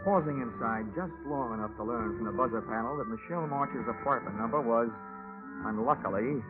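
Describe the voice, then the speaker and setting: A man acting a hard-boiled American private investigator in an old radio play. He talks in a clipped, wry way at a middling pitch. The recording is tinny and narrow, with music behind him. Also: a little fast, close to an everyday voice. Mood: dry. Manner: clipped, wry, dry delivery. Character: hard-boiled, wisecracking male freelance insurance investigator. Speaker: lead actor, narrator. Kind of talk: 1940s radio detective acting.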